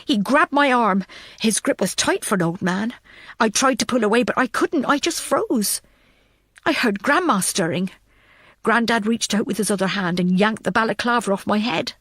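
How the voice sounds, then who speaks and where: A woman's voice: on the high side, lively intonation, normal speed, a bit bright, dry recording, clean studio sound. A woman reading a scripted thriller audiobook as narrator.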